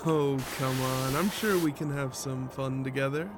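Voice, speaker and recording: A man's voice: mid-range voice, normal speed, noisy background, natural voice. An actor playing a sleazy man who pesters women at a bowling alley, in an indie audio drama. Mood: leering. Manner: leering, pushy, then backs off.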